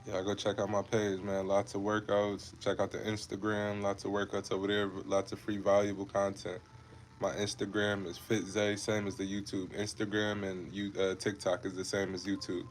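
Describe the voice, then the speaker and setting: Deep flat-voiced young man. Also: measured pace, a little hiss or hum. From Ohio. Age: 20s. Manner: laid-back, repetitive, calls viewers bro and man. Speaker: fitness creator hosting a livestream. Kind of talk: casual livestream chat with viewers.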